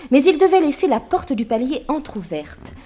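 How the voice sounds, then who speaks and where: A woman's voice: sits high, big pitch swings, moderate pace, mellow. An actress playing a cruel, gloating female master criminal in an old radio crime serial, mocking and savouring her threats. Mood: smug gloating.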